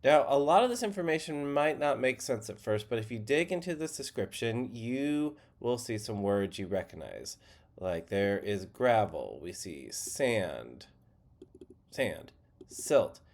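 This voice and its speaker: A man's voice: mid-range voice, measured pace. Male instructor, nasal from a cold, easygoing and plainspoken, mid-range voice. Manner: relaxed, plainspoken teaching. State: stuffy nose, slightly nasal. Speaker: college geology instructor. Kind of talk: screen-share lecture.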